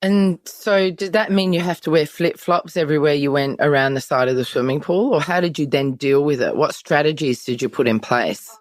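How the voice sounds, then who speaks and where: A woman's voice: low-pitched, normal speed, few pauses, dry recording, studio quality. A woman hosting a conversational interview, asking probing questions in a supportive way. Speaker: podcast host, interviewer.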